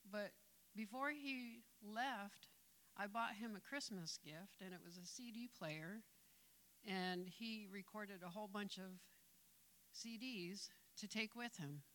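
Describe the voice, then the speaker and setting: A woman giving a tender testimony in church, soft-spoken with small laughs. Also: medium pitch, slow pace, poor audio. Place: church sanctuary.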